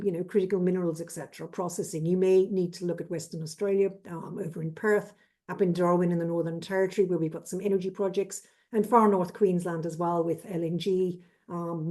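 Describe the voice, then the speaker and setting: Irish female consultant, 50s; brisk, businesslike webinar delivery, lowish warm voice. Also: fairly even intonation. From Ireland. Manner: brisk and businesslike, lists with "et cetera". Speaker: trade consultant presenting. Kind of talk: webinar presentation. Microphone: video call.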